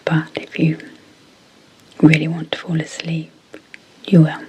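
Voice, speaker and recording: A woman speaking in a soft, breathy whisper as an ASMR sleep hypnosis guide, deliberately and in short phrases with pauses. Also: low voice, completely flat delivery, poor audio. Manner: slow, deliberate, pausing between short phrases.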